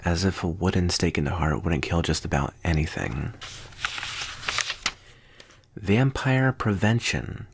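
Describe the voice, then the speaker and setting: A man playing a smug, amused vampire teacher in an ASMR roleplay, condescending and teasing, with unhurried, deliberate pauses. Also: low-pitched, lively intonation, vocal fry, slight background noise, full character voice. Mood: mocking, amused.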